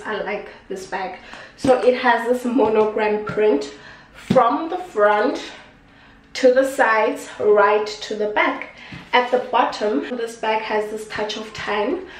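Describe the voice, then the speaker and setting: A woman's voice: mid-range voice, distant mic, slight background noise. Young female beauty vlogger, stuffy nose, chatty and affectionate; recorded off-mic in an echoey kitchen. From South Africa. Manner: chatty, affectionate, repeats herself. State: congested. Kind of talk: casual unboxing talk to camera. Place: small indoor kitchen.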